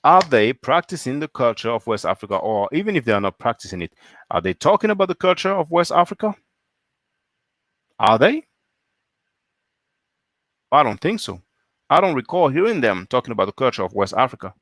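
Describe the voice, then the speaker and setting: A man's voice: medium pitch, very animated, fairly quick, pauses often, fairly bright tone, no room sound, clean studio sound. A man from France hosting a solo live stream, reading viewer comments and asking pointed rhetorical questions.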